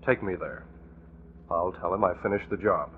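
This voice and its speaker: An actor playing a cunning, commanding masked vigilante hero who also passes as a genial gentleman, in 1940s radio drama style. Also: sits low, normal speed, close to an everyday voice. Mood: grimly confident. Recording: tinny, noisy old radio broadcast.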